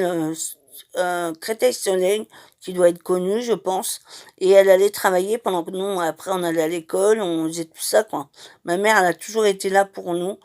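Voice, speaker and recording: A middle-aged woman from Madeira telling her life story in an unscripted, hesitant way, with many pauses and restarts. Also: medium pitch. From Madeira, Portugal. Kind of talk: personal testimony, unscripted.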